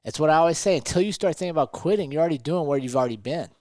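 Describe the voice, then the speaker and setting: A man's voice: a little high, racing, darkish tone, dry recording. A man co-hosting a motivational podcast, talking emphatically and often checking in with rhetorical questions. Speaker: podcast co-host.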